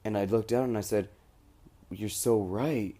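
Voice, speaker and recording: A man's voice: on the low side, measured pace, warm tone. A young American man recording a casual audio diary, rambling informally in slangy everyday speech. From United States. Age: young adult. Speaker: student recording an audio log abroad.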